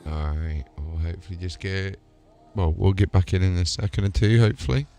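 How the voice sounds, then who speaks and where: Low-voiced man livestreaming a game. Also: fairly even intonation, brisk, mellow, soft background music. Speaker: live streamer. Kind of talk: casual game livestream chat.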